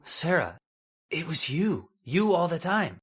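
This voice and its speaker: A man's voice: fairly high pitch, big pitch swings, slow, a bit bright, slightly rough, heavily acted. A man playing an anguished, devoted husband, earnest and pleading, in an old-time radio drama. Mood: gentle, alarmed.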